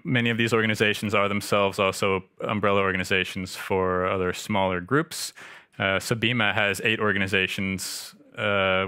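Middle-aged American male conference speaker, halting delivery, bright low voice, close and dry sound. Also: clean tone, no echo. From United States. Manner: halting, with frequent hesitations. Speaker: freshwater conservation coordinator presenting. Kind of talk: conference presentation with slides. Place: indoor room at a visitor centre.

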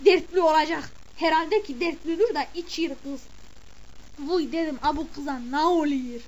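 Woman doing a middle-aged village mother, very high voice, chatty, exclamatory, scolding. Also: normal speed, a little mellow, natural voice, poor audio. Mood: gossipy. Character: gossiping village mother of the bride, indignant. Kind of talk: comic character monologue.

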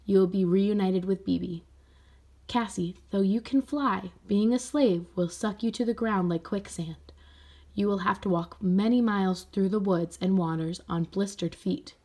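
A woman voicing a gentle, solemn aunt who guides a child in a storybook, soft and measured. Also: mid-range voice, natural voice.

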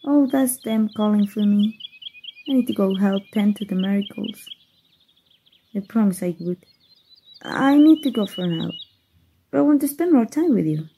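A woman's voice: mid-range voice, animated, normal speed, pauses often, warm tone, a little hiss or hum, close to an everyday voice. A woman voice actor voicing a playful, flustered young fairy in an ASMR roleplay, giggling and stammering shyly.

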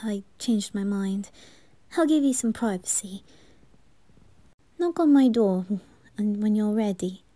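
A smitten young lordling, acted by a woman in an anime-style audio roleplay, speaks in a soft, mellow murmur. He is teasing yet easily flustered, hesitating tenderly, recorded close and dry. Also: mid-range voice, lively intonation, slow, long pauses, no echo. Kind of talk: roleplay ASMR acting.

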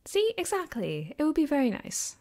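A woman playing a caring, affectionate girlfriend in an ASMR comfort roleplay, speaking softly and gently with hesitant, reassuring warmth. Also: high-pitched, huge pitch swings, moderate pace, heavily acted. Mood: playful, relaxed. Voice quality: soft, gentle, sometimes dropping to a whisper.

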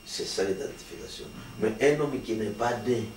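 Un pasteur d'âge mûr, originaire du Congo, qui explique la doctrine avec insistance et sérieux. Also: male voice, fairly low pitch, moderate pace, a little mellow. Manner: earnest, explanatory, emphatic. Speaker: pastor, guest. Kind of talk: sermon-like interview answers. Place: small studio with patterned wallpaper. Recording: roomy, distant, faint hiss.

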